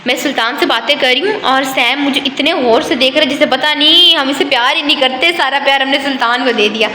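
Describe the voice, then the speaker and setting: A woman's voice: sits high, fast talker, pushed and bright, slight background noise. A woman narrating a casual home vlog in a chatty, affectionate way, often talking to her cats. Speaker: vlogger.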